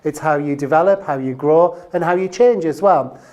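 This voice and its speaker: A woman's voice: sits low, moderate pace, a little mellow, highs cut off. A woman presenting an instructional business training video, addressing the viewer directly and leaning on key terms.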